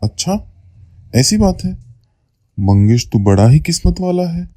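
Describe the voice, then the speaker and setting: A man's voice: fairly high pitch, very animated, measured pace, mellow, no room sound, clean studio sound. A man voicing a henpecked, blustering husband in a Hindi cartoon moral story. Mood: reluctant, placating. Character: reluctant henpecked husband, blustering when threatening.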